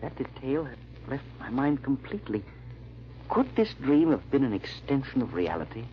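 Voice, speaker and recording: An earnest gentleman sleuth, played by a radio actor, speaks with brooding, hesitant drama. His male voice sits at a middle pitch on a muffled vintage recording with steady noise. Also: moderate pace, natural voice. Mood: haunted, brooding. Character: haunted, earnest gentleman amateur sleuth narrating his search. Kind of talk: first-person old-time radio drama narration.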